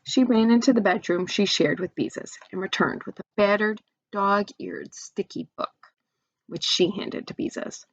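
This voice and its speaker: Lady doing an expressive kids story read-aloud, chatty with the little listeners, kinda lo-fi and dry. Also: mid-range voice, moderate pace, no echo. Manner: chatty asides with questions to young listeners. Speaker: teacher or parent reading aloud to children.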